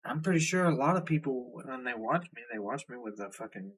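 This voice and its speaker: Husky Southern man, profane and blunt. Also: mid-range voice, expressive, a little fast, low-quality recording. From Southern United States. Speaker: reaction video host. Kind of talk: casual ranting commentary.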